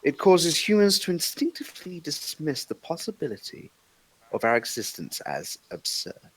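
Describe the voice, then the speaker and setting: A man's voice: on the high side, sing-song, normal speed, a bit bright, slightly rough, dry recording, voice pushed up, exaggerated voice, poor audio. A man reading a composed vampire elder at a table read, explaining in a formal manner. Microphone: online voice call.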